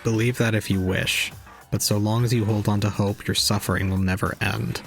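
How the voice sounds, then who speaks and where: An actor playing a cold, calm young man who preaches despair with detached logic in an audio drama. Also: lowish voice, moderate pace, creaky, faint music behind. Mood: coldly logical.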